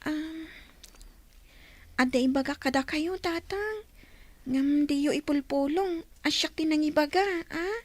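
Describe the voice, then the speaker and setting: A woman's voice: high-pitched, measured pace, long pauses, no room sound. A woman acting a devoted, worried young daughter in a radio drama, speaking in an earnest, pleading way. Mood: hesitant, worried.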